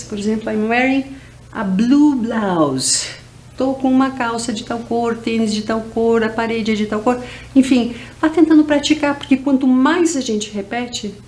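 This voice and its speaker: A Brazilian woman teaching English in a recorded video lesson, her voice sitting a little high. She stretches out words and repeats them for her students to follow. Faint background hiss sits under her voice. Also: darkish tone. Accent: Brazilian Portuguese. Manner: slow, drawn-out drilling of English words.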